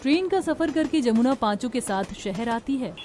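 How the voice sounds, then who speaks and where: A woman's voice: on the high side, lively intonation, normal speed, a little mellow, slight background noise. A woman narrating a scripted dramatic story as a storyteller.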